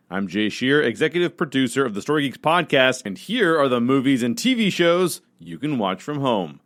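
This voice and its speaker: A man presenting a scripted rundown of entertainment news for a podcast. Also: medium pitch.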